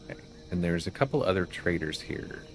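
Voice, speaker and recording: Deep voiced guy doing chill lets play commentary, game music underneath. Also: animated, brisk. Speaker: gaming video host. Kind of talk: casual game playthrough commentary with quest text read aloud. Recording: music behind the voice.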